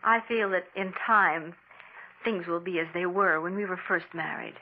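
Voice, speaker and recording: An actress playing a devoted, trusting wife in old-time radio melodrama, with emotional, exclaiming delivery. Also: female voice, lowish voice, moderate pace, close to an everyday voice. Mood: hurt, firm. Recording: tinny, noisy vintage radio recording.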